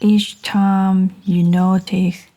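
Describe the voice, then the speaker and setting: A woman guiding a meditation in a gentle, soothing manner, speaking in short phrases with long, unhurried pauses. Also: medium pitch, little pitch movement. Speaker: meditation guide. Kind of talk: guided meditation narration.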